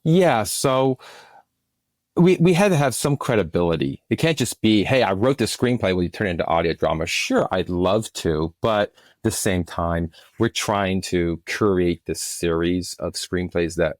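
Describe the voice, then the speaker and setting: Male producer as interview guest, deep voice, dry close sound, conversational and explanatory. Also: expressive, moderate pace, no room sound. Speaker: interview guest, film and audio drama producer.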